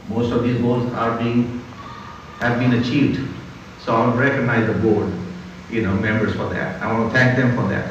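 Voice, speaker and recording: Older non-native man addressing a community, slow with fillers; far from mic in an echoey hall. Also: medium pitch, dull audio, slight background noise. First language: not English. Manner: slow, deliberate, frequent "you know" and "uh". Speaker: community speaker.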